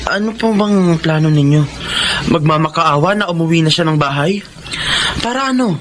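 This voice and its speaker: A man voicing a caring young son who pleads with his mother in a radio drama, in an earnest, gently persuasive manner. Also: fairly high pitch, lively intonation, normal speed, lightly acted. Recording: noisy background ambience.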